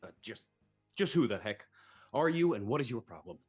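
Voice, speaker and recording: A man playing a curt, flustered businessman father in a live comedy table read, halting and impatient. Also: mid-range voice, very animated, darkish tone, slightly rough, faint music behind. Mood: exasperated, suspicious.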